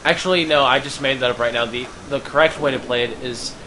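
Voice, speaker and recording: A man's voice: mid-range voice, fast talker, pushed and bright, slight background noise, poor audio. A man giving casual, incredulous, questioning commentary in group gaming banter. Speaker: let's play commentator.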